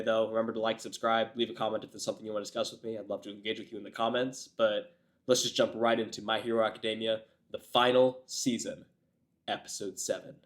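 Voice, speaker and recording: Raspy, nasal man with a cold. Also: fairly low pitch. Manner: rambling, self-described yapper. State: getting over a cold. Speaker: anime reaction host. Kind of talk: casual chat to camera.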